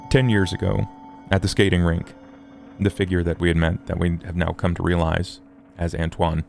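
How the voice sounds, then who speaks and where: A man playing a scholarly, formal vampire in a tabletop game, speaking in measured, wordy phrases over music. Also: flat delivery, a little mellow. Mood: formal, pondering. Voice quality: low, gravelly fry. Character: scholarly, formal, morose male vampire occult theorist. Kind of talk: in-character tabletop roleplay.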